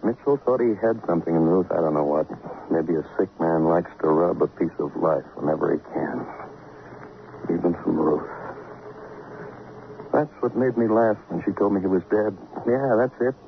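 Arrogant moneyed husband, sardonic, boastful, possessive, lowish voice, vintage radio drama, noisy old recording. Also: male voice, moderate pace, creaky, narrow, tinny audio. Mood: bitter, possessive. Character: arrogant, rich, possessive husband, cold and mocking. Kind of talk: mid-century radio crime drama acting.

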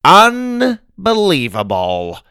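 A man voicing a feisty, indignant old grandmother, a sharp-tongued, bossy adventurer in a storybook. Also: a little high, sing-song, normal speed, vocal fry, no room sound, clean studio sound, pitched above the performer's own voice, heavily acted. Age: older. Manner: exclamatory, teasing, bossy.

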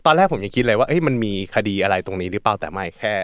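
Man, rapid speech, dry sound, casual podcast guest co-host. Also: on the low side, lively intonation, smooth, no echo. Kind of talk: casual chat.

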